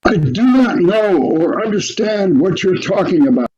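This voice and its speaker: A man's voice: high voice, lively intonation, measured pace, a little mellow. A man playing a frightened disciple making emphatic, defensive denials in an amateur scripture reading. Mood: vehement denial. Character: Peter, frightened disciple vehemently denying his master. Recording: dull lo-fi home recording.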